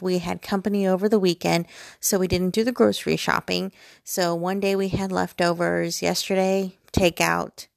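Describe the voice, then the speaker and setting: A woman's voice: on the low side, gravelly, no echo, studio quality. An American woman in her forties chatting casually and digressively, with a self-deprecating, rambling manner. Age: 40s. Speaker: vlogger. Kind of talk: casual rambling personal chat. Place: bedroom at home, lying in bed.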